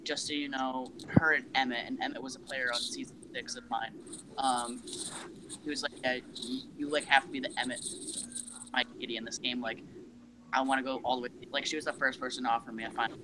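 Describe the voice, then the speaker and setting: A man's voice: mid-range voice, steady tone, fairly quick, background noise. A man chatting casually as a game contestant, recounting things to the group.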